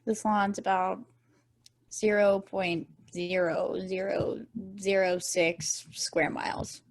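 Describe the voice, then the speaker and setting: A woman's voice: mid-range voice, fairly even intonation, takes it slowly. A woman playing an earnest, curious and easily puzzled space probe, hesitant and conversational, in a table read. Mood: hesitant, calculating. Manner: hesitant, questioning.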